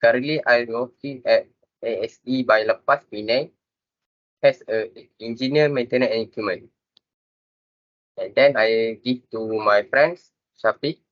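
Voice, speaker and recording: A man's voice: medium pitch, unhurried, long pauses, no echo, muffled recording. A man, giving a formal, polite self-introduction. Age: 20s. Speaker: student presenter.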